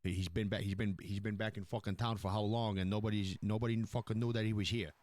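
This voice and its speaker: Man playing a low-voiced, swearing mafia boss. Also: little pitch movement, fast talker, darkish tone, no echo, natural voice. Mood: emphatic, approving. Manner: swears often, repeats himself for emphasis. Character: profane Italian-American mafia boss, commanding, loyal to his crew. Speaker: streamer playing a crime boss in online roleplay. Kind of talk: improvised in-character roleplay dialogue.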